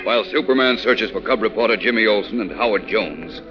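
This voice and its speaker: A man's voice: on the low side, brisk. A man narrating a 1940s radio serial in a theatrical, suspenseful announcing style. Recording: vintage radio broadcast with loud music.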